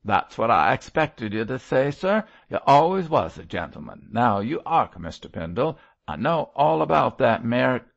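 A man's voice: mid-range voice, big pitch swings, normal speed, gravelly, hardly any pauses, lo-fi sound, voice pushed up. A man voicing a coarse, emotional condemned criminal and father in an audiobook, with a growling, rustic working-class English accent. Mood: earnest, resigned. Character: coarse condemned male criminal, gruff, grateful, remorseful father.

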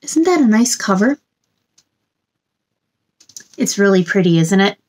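A woman in her thirties doing a children's read-aloud, with a gentle sing-song delivery and chatty asides addressed to young listeners. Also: mid-range voice, big pitch swings, a little slow. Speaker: children's storytime host reading a picture book aloud. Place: small indoor room.

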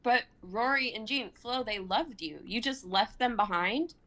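A woman's voice: on the high side, lively intonation, unhurried, fairly bright tone. Young woman playing a bewildered, anxious woman. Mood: upset, incredulous. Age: 20s. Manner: incredulous, questioning. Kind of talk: amateur dramatic script reading. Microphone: microphone on a video call.

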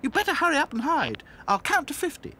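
Woman as quick clever girl doll, high and lively, storybook. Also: a little hiss or hum, exaggerated voice, rough recording. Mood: eager. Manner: brisk and eager.

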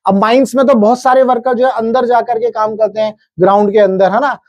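A man's voice: extremely high pitch, steady tone, a little fast, a little mellow, dry recording, muffled recording. Indian man lecturing in a dry studio. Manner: methodical, with checking tags to the viewer. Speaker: presenter explaining government wage notices. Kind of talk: explanatory lecture, reading out figures and tables. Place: indoor studio, standing at a large digital screen.

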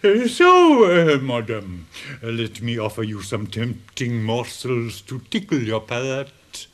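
Weary, courteous old schoolmaster, swooping intonation. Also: male voice, medium pitch, big pitch swings, slow pace. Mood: mock courtly. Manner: formal, hesitant, drily ironic. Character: elderly weary schoolmaster, courteous and drily ironic. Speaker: actor in a radio drama. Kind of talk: radio drama acting.

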